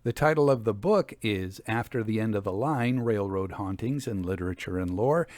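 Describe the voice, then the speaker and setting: A man narrating and commenting as a storyteller and host, with a measured, conversational and expressive delivery. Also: on the low side, dry recording.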